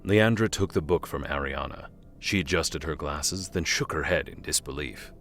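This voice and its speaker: An actor playing a solemn storyteller, narrating a scripted fantasy audio drama. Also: male voice, a bass voice, moderate pace, fairly bright tone, a little hiss or hum. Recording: music bed under the voice.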